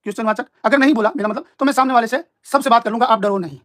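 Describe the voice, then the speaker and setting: A man's voice: extremely high pitch, racing, a bit bright. A man in an informal live-stream argument, explaining himself in an emphatic, repetitive, combative way.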